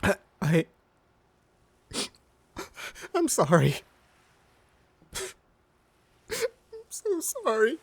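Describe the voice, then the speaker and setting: Broken pirate overlord, gravelly and anguished. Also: male voice, very high voice, wildly swooping pitch, drawn-out words, heavily acted. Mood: tearful remorse. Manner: stammering, anguished pleading. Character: defeated blind pirate overlord, desperate, broken, grieving. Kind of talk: dramatic audio roleplay acting.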